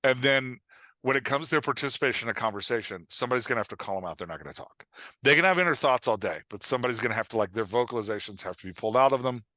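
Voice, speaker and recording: A man talking as a coach and podcast guest, explaining things in an informal, animated way with acted-out example remarks. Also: medium pitch, racing, no echo. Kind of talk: casual explanatory podcast interview.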